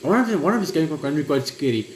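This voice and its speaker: A young man giving casual gameplay commentary and reading aloud, halting and stumbling over words as he goes. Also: a little high, sing-song. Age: young adult. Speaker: gaming video host. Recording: roomy echo, clear background noise.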